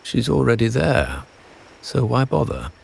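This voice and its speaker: Chilly mafia man, really creaky n slow, swingy low pitch, detached. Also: very animated, a little hiss or hum, exaggerated voice. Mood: cold. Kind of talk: dramatic video game voice acting.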